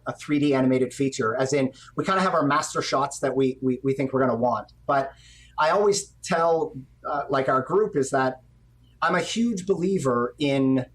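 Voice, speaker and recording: Middle-aged male director, slightly high voice, conversational interview guest on a video call. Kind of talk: conversational interview, explaining his work. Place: indoor room on a video call.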